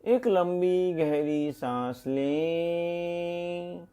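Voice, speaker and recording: Very slow, mellow male meditation guide. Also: high voice, clear, resonant tone. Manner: soothing, deliberate, with pauses and gentle repetition. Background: meditation music.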